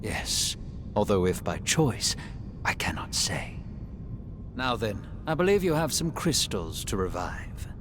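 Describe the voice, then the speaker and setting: Game villain-type monster: low, breathy, courtly, knowingly amused, very melodic delivery, rumbling noise underneath. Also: male voice, very animated. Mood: mysterious, hushed, then wry, detached. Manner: theatrical, formal, measured phrasing. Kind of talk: video game voice acting.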